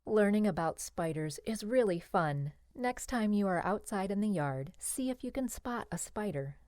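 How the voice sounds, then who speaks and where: A woman reading a scripted educational book aloud for children in simple, clearly phrased sentences. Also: mid-range voice, lively intonation, normal speed, a little mellow, no echo, studio quality. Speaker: children's audiobook narrator.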